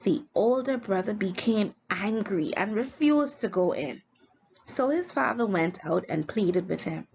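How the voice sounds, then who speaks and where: A woman's voice: mid-range voice, animated, a little slow, creaky, a little mellow. A woman reading a scripted story aloud as a teacher for students, expressively and with feeling. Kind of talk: scripted read-along storytelling. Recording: dull lo-fi recording, faint background noise.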